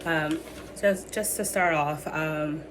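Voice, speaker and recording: A woman's voice: sits low, steady tone, takes it slowly. A young woman who is losing her voice, giving a hesitant student presentation full of ums and restarts. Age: young adult. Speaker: student presenting a capstone project. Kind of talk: presentation with many fillers. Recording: noisy background ambience.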